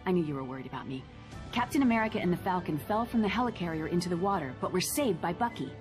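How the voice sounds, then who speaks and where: A woman plays a gossipy, nosy neighbor, chatting and teasing as she narrates game cutscenes. Her voice sits slightly low, with music loud in the background. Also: animated, fast talker, lightly acted.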